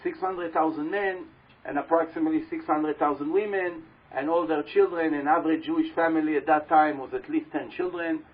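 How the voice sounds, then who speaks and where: A man with a loud, slightly husky voice, pitched a little high, gives a religious talk to a live audience. He tells a long story and keeps putting questions to his listeners. The recording is dull and lo-fi, with the voice taken through a microphone at a live event. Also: fairly even intonation, brisk. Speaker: religious teacher speaking to an audience. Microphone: handheld or stand microphone.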